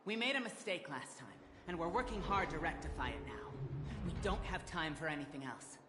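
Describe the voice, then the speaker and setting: A woman's voice: low voice, lively intonation, brisk, background noise. A woman playing a bossy young guard in a video game, curt and combative. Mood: stiff, official. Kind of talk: scripted video game dialogue. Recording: echoey with loud game music.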